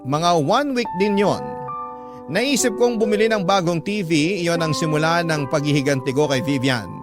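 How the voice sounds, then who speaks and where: A man's voice: a little high, moderate pace. A Filipino man with a Filipino accent, reading a listener's story in the first person as a dramatic radio storyteller. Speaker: storyteller and host of a radio love-story program. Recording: loud music bed under the voice. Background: loud background music.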